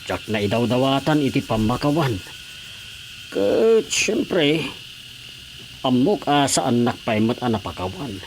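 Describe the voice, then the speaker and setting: Sheepish aging playboy villager, Ilocano accent, lively melodic delivery, eager confessions, radio drama, faint background noise. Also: male voice, mid-range voice, poor audio. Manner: eager, rapidly repeated agreement, halting confession.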